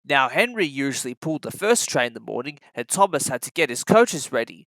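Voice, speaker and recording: A narrator reading a children's story aloud in an expressive, scripted style. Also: medium pitch, a little fast, no echo, low-quality recording.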